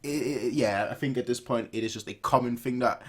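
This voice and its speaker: A man in his twenties giving casual, off-the-cuff reaction commentary in an animated, rambling way, repeating phrases for emphasis. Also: medium pitch, brisk. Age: 20s. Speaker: reaction video host. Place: small room at home, indoors.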